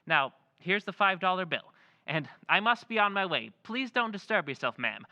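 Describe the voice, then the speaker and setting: A man's voice: high voice, very animated, normal speed, pushed and bright, no echo, muffled recording, exaggerated voice. A man playing a polite, formal, foreign-born stranger in an old-time radio drama. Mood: courteous. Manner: courteous, formal, smooth.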